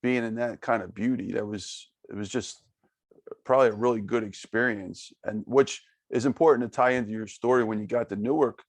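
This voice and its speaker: A man's voice: medium pitch, steady tone, fairly quick, no room sound. A man hosting a podcast interview, giving short reactions and asking follow-up questions. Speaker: podcast host. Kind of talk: casual interview.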